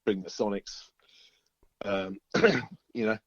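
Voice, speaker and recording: A man with a fairly low voice talks as a musician guest. He rambles along casually and easily. The recording is dull and lo-fi, with no room echo. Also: little pitch movement, slow. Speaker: band musician being interviewed. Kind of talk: casual chat.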